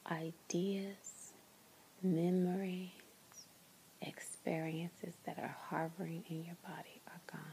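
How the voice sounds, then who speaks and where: A woman leading a guided meditation in a soft, breathy, largely whispered voice, soothing and gentle, with long pauses. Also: lowish voice, slight background noise, rough recording.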